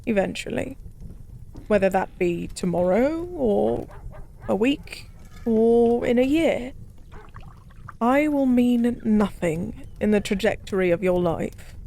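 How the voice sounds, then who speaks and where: Soft, slow-speaking lonely fallen goddess played by a woman. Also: on the high side, animated, full character voice. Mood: melancholic, resigned. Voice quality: soft-spoken, creaky. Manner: slow, musing, melancholic drunken rambling. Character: lonely drunk fallen goddess, wistful, weary, secretive stranger. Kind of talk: soft-spoken audio roleplay acting.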